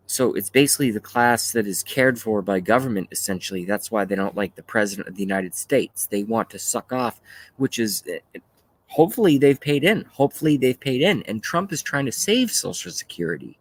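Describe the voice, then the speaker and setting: A man of thirty-five hosting a livestream, talking casually and haltingly as he rants and reads out chat messages, with some swearing. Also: lowish voice. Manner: rambling, halting, often swearing. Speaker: livestream host. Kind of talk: casual political rant while reading live chat.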